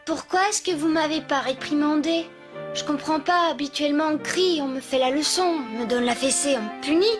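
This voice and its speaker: A woman voicing a mischievous, defiant young girl in a French-dubbed animated series. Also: high voice, moderate pace, close to an everyday voice. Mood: confused, contrite. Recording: music behind the voice.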